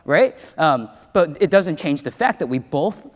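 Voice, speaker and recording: A man's voice: a little high, very animated, measured pace. A man on a panel discussing politics, conversational and playful, with frequent "um" fillers. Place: large hall stage. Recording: long reverb from a large hall.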